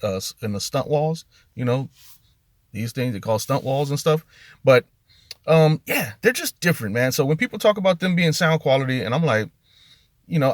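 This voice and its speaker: A man's voice: medium pitch, fairly bright tone, dry recording, clean studio sound. A middle-aged man hosting a car audio video in a casual, conversational rant, leaning on key words for emphasis.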